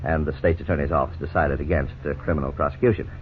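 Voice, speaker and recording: A middle-aged man playing a lawyer who narrates and explains the case in a measured, formal way, in 1950s radio drama style. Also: a bass voice, fairly even intonation. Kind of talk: scripted narration. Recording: scratchy 1950s radio broadcast, tinny, faint hiss.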